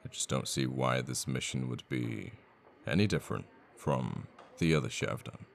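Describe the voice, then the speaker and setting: A man playing a cocky assassin boyfriend in an intimate ASMR audio roleplay, murmuring and sweary, with sighs and pauses. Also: a bass voice, expressive, vocal fry, slight background noise, exaggerated voice. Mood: cocky, irritated. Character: cocky young male assassin, flippant, menacing, secretly a devoted boyfriend.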